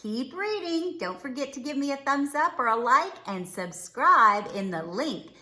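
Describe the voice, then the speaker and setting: A woman reading a children's storybook aloud expressively, with dramatic, drawn-out emphasis on words. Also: sits high, animated, pushed and bright. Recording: echoey, roomy sound.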